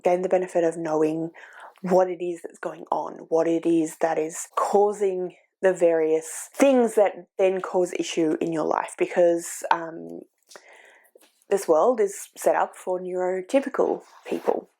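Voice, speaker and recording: A woman vlogger talks through a book in a casual, earnest way, stopping now and then to gather her thoughts. She is sniffly from a cold. Her voice sits low and carries a heavy gravelly fry. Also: steady tone, a little slow, dark tone. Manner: earnest, thinking aloud with fillers. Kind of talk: casual reading vlog chat.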